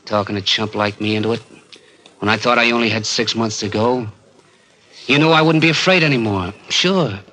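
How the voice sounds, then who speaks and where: Forceful, bitter 1940s radio gangster. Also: male voice, lowish voice, normal speed. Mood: bitter accusing. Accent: American. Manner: streetwise slangy talk, hard-boiled. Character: bitter small-time gangster gunman, formerly a coward. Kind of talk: 1940s radio crime drama acting. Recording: vintage 1940s radio recording, music behind.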